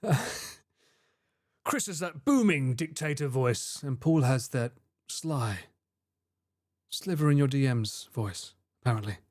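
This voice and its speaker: A man's voice: mid-range voice, lively intonation, slow, pauses often, a little mellow, no echo, clean studio sound. A man reacting to viewer clips on a live stream, chatting loosely with his audience, laughing easily and swearing casually.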